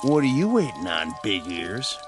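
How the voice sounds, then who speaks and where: A man's voice: fairly low pitch, wildly swooping pitch, moderate pace, over music. An actor playing a laconic, easygoing farmer father with a rural Southern American accent in a radio drama. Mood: matter-of-fact.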